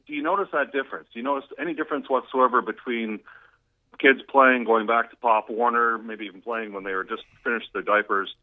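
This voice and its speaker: Man, low voice, polite, long-winded, phone-line sound. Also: no room sound. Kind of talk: radio interview.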